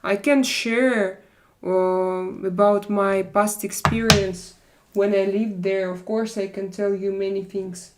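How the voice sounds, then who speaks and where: A woman from Russia, hosting a casual live stream chat and searching for her words in halting English. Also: medium pitch, fairly even intonation, noisy background.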